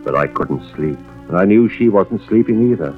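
Anxious brooding husband, deep voice, very expressive, hesitant, muffled 40s radio mystery sound. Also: male voice, normal speed. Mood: troubled. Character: troubled insurance investigator husband, brooding and anxious. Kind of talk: 1940s radio drama acting, first-person narration. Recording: dull vintage radio recording with music behind.

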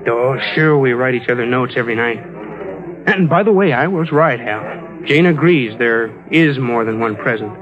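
A man's voice: mid-range voice, lively intonation, normal speed, lightly acted. A man acting in a 1950s radio drama as a stubborn, lovestruck believer, speaking sincerely and insistently. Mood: sincere, hopeful. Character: stubborn, lovestruck man convinced of parallel worlds. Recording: dull vintage radio recording with music.

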